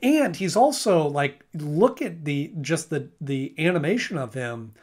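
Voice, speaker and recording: A man's voice: a little high, animated, measured pace, darkish tone. A man talking casually about a cartoon episode for his channel, with a slightly raised voice. He rambles, circles back, and corrects himself mid-sentence. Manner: rambling, self-correcting, frequent restarts and filler. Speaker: episode reviewer. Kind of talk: casual video review commentary.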